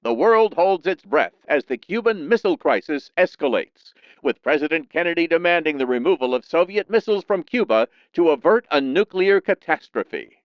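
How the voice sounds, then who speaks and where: A man narrating scripted news in a dramatic, measured 1960s newsreel announcer style. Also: a little high, lively intonation, clean tone, dry recording, lo-fi sound.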